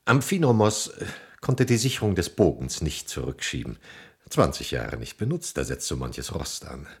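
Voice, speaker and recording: A man acting a mysterious, shrewd stranger in a German radio play, dry, sly and politely insolent, with a rough, gravelly voice. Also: a bass voice, sing-song, normal speed, exaggerated voice. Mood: dry, matter-of-fact.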